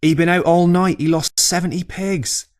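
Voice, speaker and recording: A man voicing an old Cornish fisherman telling a tall pub tale in animated, exclamatory dialect. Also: high voice, moderate pace, voice pushed up, natural voice. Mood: exclamatory, indignant.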